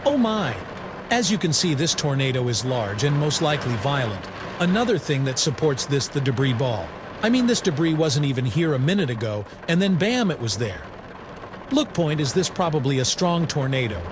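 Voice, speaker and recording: Guy acting as worried tv weatherman, kinda high voice, super animated, explains stuff, noisy recording. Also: normal speed. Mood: concerned, urgent. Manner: explanatory, urgent, conversational. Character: concerned male TV meteorologist covering a storm live. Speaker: weather broadcast presenter. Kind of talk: acted live storm coverage.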